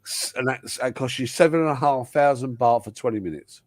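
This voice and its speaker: Man hosting a casual live chat, middle pitch, rambling, dry sound, many restarts. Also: a little fast, no room sound. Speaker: host of live panel chat. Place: home in Thailand.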